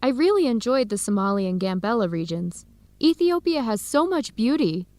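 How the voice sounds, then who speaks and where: A friendly, open-minded young researcher with an American accent in a radio drama for learners. Her voice sits a bit high. The recording is close and dry. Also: big pitch swings, moderate pace, darkish tone, no echo. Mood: upbeat, chatty. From United States. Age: young adult.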